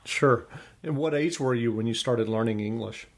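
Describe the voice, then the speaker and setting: A man's voice: mid-range voice, moderate pace. A man hosting a podcast, putting questions to a guest in a conversational interview style. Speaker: podcast host interviewing an author.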